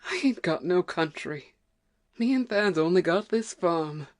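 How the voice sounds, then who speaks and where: Casting: grudging, worn-out country wife played by a woman, low voice, rural American accent, complaining and unsympathetic, audio drama. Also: lively intonation, unhurried. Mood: bitter, stubborn. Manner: folksy dialect, scolding and complaining. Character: weary, ignorant, grudging farm wife, stubborn and cross. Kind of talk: amateur audiobook play acting.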